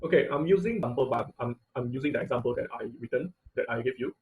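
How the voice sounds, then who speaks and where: Halting man teaching an online class. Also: medium pitch, a little mellow, slight background noise, poor audio. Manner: halting, repeats phrases, often seeks confirmation with "okay" and "right". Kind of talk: informal live technical demonstration lesson.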